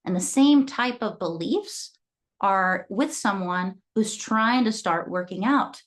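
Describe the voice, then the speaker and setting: A woman from Guatemala, about thirty-something, speaks as a fitness coach in an earnest storytelling way. Her voice sits at an everyday middle pitch for a woman. She moves from one client story to the next in a motivational talk. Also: lively intonation, slow pace. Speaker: fitness coach and gym owner.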